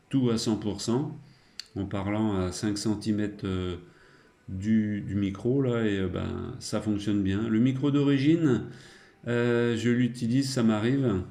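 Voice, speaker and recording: A man's voice: lowish voice, warm tone. A man chatting casually as a radio operator, in a rambling, hesitant way. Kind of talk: casual radio contact chat.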